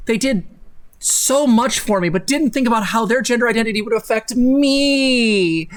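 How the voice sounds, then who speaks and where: A woman's voice: fairly high pitch, normal speed. A young woman co-hosting a livestream with casual, mocking, sarcastic commentary and plenty of exclamation. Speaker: livestream co-host. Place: indoors at a desk in a home streaming setup.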